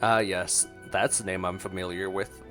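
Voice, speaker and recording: Low-voiced man reacting to a game. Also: level tone, normal speed, over music. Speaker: gaming streamer. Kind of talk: casual game reaction commentary.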